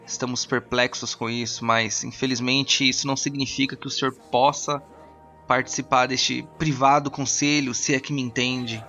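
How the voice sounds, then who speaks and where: A man voicing a suspicious, courteous council mage with a measured, haughtily polite manner in a fantasy role-playing audio drama. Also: medium pitch, flat delivery, sharp tone, highs cut off, soft background music, lightly acted. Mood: stiffly apologetic.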